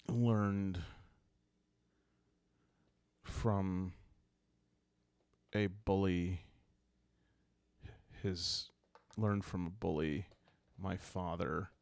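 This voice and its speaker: A man with a low voice talks at an extremely slow pace, as if there is all the time in the world. He calmly makes up a solo roleplaying tale meant for falling asleep to, thinking aloud in short, halting phrases. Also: vocal fry, dry recording. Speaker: host and solo player of a journaling roleplaying game. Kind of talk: calm, soothing improvised solo roleplay narration for sleep listening.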